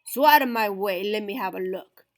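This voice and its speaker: A woman voicing a mean, bossy male prairie dog in a storybook, with a booming, snarling growl. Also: normal speed, lightly acted. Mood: gruff, scornful.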